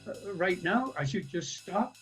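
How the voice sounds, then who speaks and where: A man's voice: high-pitched, lively intonation, a little slow, fairly bright tone, faint music behind. A man in his seventies playing a proud, resentful elderly husband in an audio play, protesting indignantly. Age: 70s. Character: proud, resentful 77-year-old husband losing his driving. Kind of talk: audio play stage acting.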